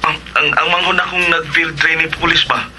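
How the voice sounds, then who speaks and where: A woman's voice: sits low, fairly even intonation, fairly quick, heavy background noise, lightly acted. An actress as a worried, apologetic woman. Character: worried, apologetic woman asking a friend for help. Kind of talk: radio drama acting.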